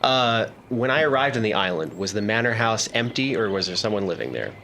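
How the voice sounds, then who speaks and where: A man's voice: on the low side, lively intonation, quick pace, vocal fry, sharp tone, a little hiss or hum. A man playing a tabletop role-playing game, improvising in-character talk and narration among friends.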